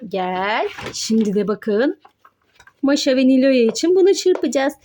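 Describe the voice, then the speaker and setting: Very high-pitched woman coaxing through playtime. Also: big pitch swings, a little slow, warm tone, slight background noise. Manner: coaxing, gives simple step-by-step instructions. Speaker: host of a children's toy play channel, mother playing with her child. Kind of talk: playful narration of pretend play for children.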